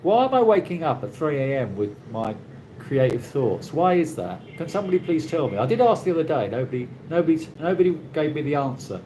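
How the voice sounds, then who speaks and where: A British man talks casually on a live stream, his voice rising and falling in a lively way. He rambles and restarts his sentences often. Steady noise from the surroundings runs under his voice. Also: a little high. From Britain. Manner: rambling, halting, with many restarts. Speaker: livestream host reading viewer comments. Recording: noisy ambient background.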